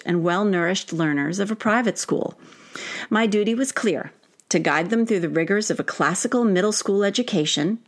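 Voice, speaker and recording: A middle-aged woman, an author, reading her own essay as scripted narration with expressive, deliberate phrasing. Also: fairly low pitch.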